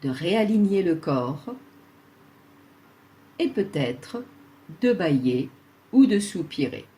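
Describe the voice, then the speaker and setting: A woman leading a guided yoga relaxation, speaking soothingly and leaving long pauses between short instructions. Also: lowish voice, very animated, warm tone.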